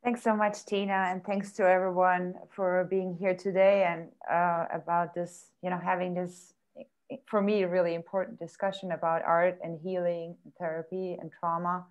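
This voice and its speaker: Woman from Austria, lowish voice, searching delivery, panel talk. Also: little pitch movement, moderate pace, clean tone, dull audio. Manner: searching, filler-heavy, repeats words. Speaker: artist and art therapist panelist. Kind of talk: personal account on a panel. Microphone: video call.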